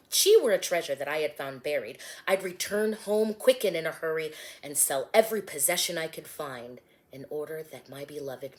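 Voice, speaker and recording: A tender young man speaks of his beloved in a hushed, low voice, as a woman reads his lines. The tone stays soft and quiet. It is a storybook reading.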